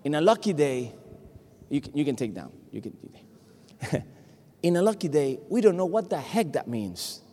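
A man from Puerto Rico, Spanish his first language, with a Puerto Rican accent, preaching an animated, joking sermon to a congregation. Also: on the high side, moderate pace, pauses often. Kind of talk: lively sermon. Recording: long hall reverb.

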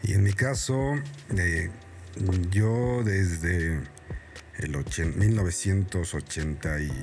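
A man speaking as a guest in a relaxed way, filling his talk with hesitations and small filler words. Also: low-pitched. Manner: loose, full of fillers. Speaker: guest, podcaster. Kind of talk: casual conversation.

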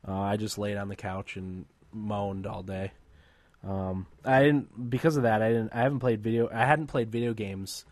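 Brief: man, low voice, dry close sound, low-key host just getting over being sick. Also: fairly even intonation, moderate pace, darkish tone, no echo. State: recovering from illness. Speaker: podcast host. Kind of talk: casual chat.

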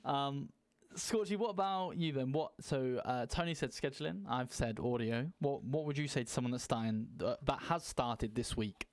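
A man's voice: mid-range voice, moderate pace, smooth, no room sound. Man co-hosting a dry, close-miked chat. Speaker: podcast co-host. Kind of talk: casual chat about streaming.

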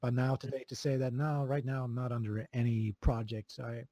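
A man from Sweden with a Swedish accent, chatting casually as an interview guest, relaxed, with many fillers and chuckles. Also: mid-range voice, fairly quick, no room sound, lo-fi sound. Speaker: video game composer, guest.

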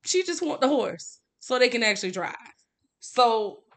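A woman's voice: on the high side, big pitch swings, unhurried, a bit bright. A woman co-hosting a podcast, talking through a book in a casual, candid way and often repeating words for emphasis. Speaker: podcast co-host.

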